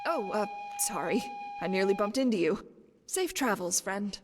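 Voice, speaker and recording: A woman acts out a wry desert wanderer from a fantasy video game. Her pitch leaps about as she brushes people off with breezy, guarded banter. Music plays prominently around her, and her voice rings in a long reverb. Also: huge pitch swings, normal speed. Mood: breezy, evasive.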